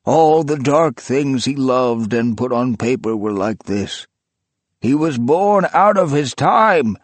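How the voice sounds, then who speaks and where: A man voicing a feverish, imperious old book collector who talks with fierce, rapturous command, raised higher and louder than his narrating voice. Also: lively intonation, takes it slowly, no echo. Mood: fervent.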